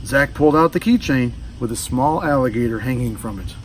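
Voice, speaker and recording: Animated man reading a children's story aloud. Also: mid-range voice, fairly quick. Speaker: storybook reader. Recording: distant mic with faint background noise.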